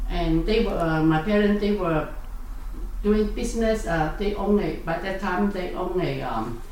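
A woman, answering interview questions in halting speech full of fillers and self-corrections. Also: on the low side, darkish tone. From South Vietnam. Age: 60s. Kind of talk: oral history interview. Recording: echoey room, distant mic, faint noise.

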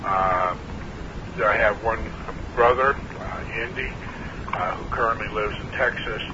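Older man, hesitant, dull noisy line. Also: fairly low pitch. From New Hampshire. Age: 60s. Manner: hesitant, many uh fillers, measured. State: clearing his throat, frog in throat. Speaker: interviewee. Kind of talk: oral history interview.

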